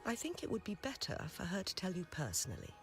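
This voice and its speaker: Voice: mid-range voice, huge pitch swings, fast talker, music in the background. A formal, courteous town official. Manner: formal, polite. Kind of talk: game voice acting.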